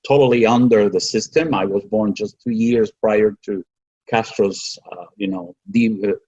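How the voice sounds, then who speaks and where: A man in his sixties with a Cuban accent, a native Spanish speaker, telling his own story conversationally and haltingly. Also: fairly low pitch, a little mellow, muffled recording. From Cuba. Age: 60s. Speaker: podcast guest, physician.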